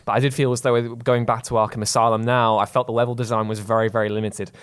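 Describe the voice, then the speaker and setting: A man with a raspy voice co-hosting a gaming podcast in casual chat, animated and acting out little quotes. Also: mid-range voice. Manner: quick, animated, acts out quotes. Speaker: podcast co-host.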